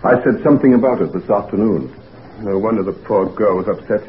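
An actor playing a concerned, frank physician friend, chummy and old-fashioned, in a 1940s radio drama. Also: male voice, on the low side, brisk, natural voice. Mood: reproachful. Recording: noisy, tinny 1940s radio recording.

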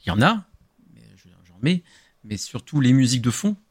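A middle-aged man explaining his podcast casually, halting and often rephrasing as he goes. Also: mid-range voice, sing-song, husky. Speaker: podcast host appearing as a guest. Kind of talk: casual talk explaining own show.

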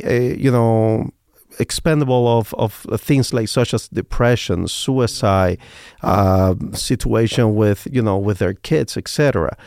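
A man's voice: fairly low pitch, darkish tone, smooth, dry recording. A man from Cuba with a Cuban Spanish accent, talking earnestly and reflectively as an interview guest. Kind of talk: interview talk.

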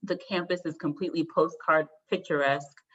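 A woman from the Washington DC area, a guest expert speaking in a measured, explanatory way. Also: deep voice, fairly even intonation, fairly bright tone, slightly rough, dull audio. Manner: measured, explanatory, some fillers. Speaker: guest expert on student mental health. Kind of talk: interview answers.

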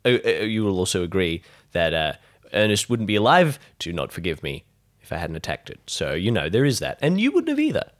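A man's voice: low-pitched, huge pitch swings, fairly quick. A man playing a weary, wary survivor in a tabletop roleplay, dry and sardonic with hesitant phrasing. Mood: dry, needling.

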